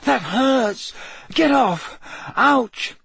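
Guy playing a teen wizard boy in a storybook, higher husky voice, panicky, breathless, cant believe it. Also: sing-song, measured pace, no echo, heavily acted. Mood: pained, protesting. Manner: breathless, exclaiming, questioning. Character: panicky, disbelieving teenage boy wizard hero.

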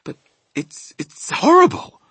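A man's voice: on the high side, huge pitch swings, normal speed, fairly bright tone, breathy edge, dry recording, pitched above the performer's own voice, full character voice. A man voicing a tender-hearted, easily alarmed little girl in a children's storybook. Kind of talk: children's storybook character acting.

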